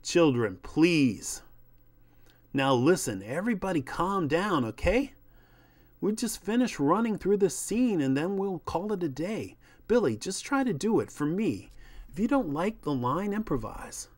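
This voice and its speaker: A man's voice: high voice, big pitch swings, unhurried, pitched above the performer's own voice, exaggerated voice. A man voicing a weary television director who speaks in a soothing, placating manner. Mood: weary, pleading. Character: placating, weary male TV director calming actors.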